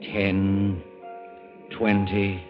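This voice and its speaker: An actor serving as a solemn, measured male narrator of an old-time radio drama. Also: low voice, monotone. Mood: solemn, suspenseful. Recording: muffled old radio recording. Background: music.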